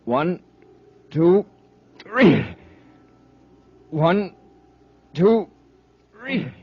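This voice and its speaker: A man playing a resolute, quick-thinking space patrol commander, giving crisp orders in 1950s radio serial style. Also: high voice, big pitch swings, exaggerated voice. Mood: urgent, commanding. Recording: dull, hissy old radio recording.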